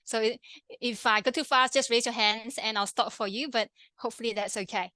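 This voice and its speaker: A woman with a Malaysian accent, a pharmacist and health coach, presenting an online workshop in a friendly, quick way with many fillers and restarts. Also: fairly high pitch, steady tone, sharp tone, no room sound. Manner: fast-talking, friendly, with frequent fillers and restarts.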